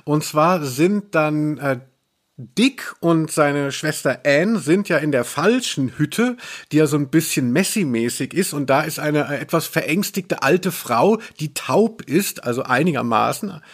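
A man's voice: a little high, animated, a little slow. A man hosting a podcast, chatting casually and explaining things in a wry, rambling way. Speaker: podcast host, culture journalist. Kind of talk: casual podcast chat about audio dramas.

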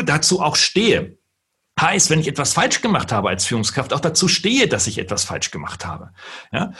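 A middle-aged man from gives an earnest talk on leadership, slowing down to stress key words. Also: medium pitch, a bit bright, breathy edge. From northern Germany. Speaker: keynote speaker and lecturer.

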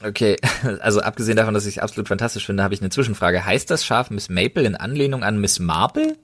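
Low-voiced man chatting enthusiastically. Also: quick pace, fairly bright tone. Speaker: podcast co-host. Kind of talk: casual podcast chat.

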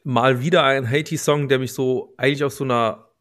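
A man's voice: mid-range voice, fairly even intonation, a little fast, a bit bright. A man co-hosting a podcast, chatting casually about music and hedging as he circles toward his point. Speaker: podcast co-host. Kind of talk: casual music review chat.

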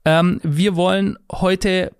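A man from Germany co-hosting a casual podcast conversation, wordy and halting, often repeating his words. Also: high voice, fairly even intonation, vocal fry, clean tone, dry recording, clean studio sound. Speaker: podcast co-host.

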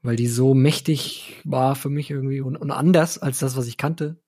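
A man's voice: mid-range voice, fairly even intonation, normal speed, mellow. A man co-hosting a music podcast, chatting informally and colloquially. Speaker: podcast co-host. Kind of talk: casual music podcast chat.

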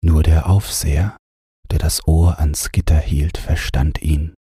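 A man narrating a literary audiobook for bedtime, in a calm, measured storytelling manner. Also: extremely low pitch, slightly rough, no echo, studio quality.

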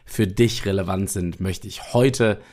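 A young man hosting a solo podcast, talking straight to the listener in an energetic, motivational way while still recovering from an illness. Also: deep voice, measured pace. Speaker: podcast host.

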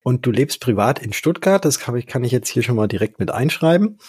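A man's voice: mid-range voice, big pitch swings, fairly quick, a little mellow, breathy edge. A man hosting a podcast interview, asking questions in an upbeat, conversational way. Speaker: podcast host.

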